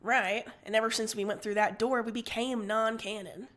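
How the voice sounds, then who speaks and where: A woman's voice: on the high side, steady tone, normal speed, fairly bright tone, close to an everyday voice. A woman voicing a stubborn, pretentious man who argues defensively in an amateur story reading. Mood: pressing.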